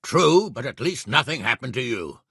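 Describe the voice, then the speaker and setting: A man playing a blunt, hot-tempered middle-aged medieval lord in a video game, with a raspy, gravelly voice and an authoritative manner. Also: medium pitch, big pitch swings, moderate pace, fairly bright tone, no echo, clean studio sound, full character voice. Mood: gruffly paternal. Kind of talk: video game character acting.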